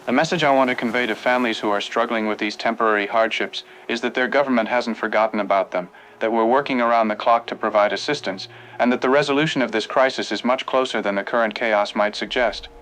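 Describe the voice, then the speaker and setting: A man's voice: mid-range voice, fast, hardly any pauses, fairly bright tone, a little hiss or hum, close to an everyday voice, low-quality recording. A man playing a formal, reassuring government official giving a bureaucratic crisis statement in an audio drama. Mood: confident, reassuring.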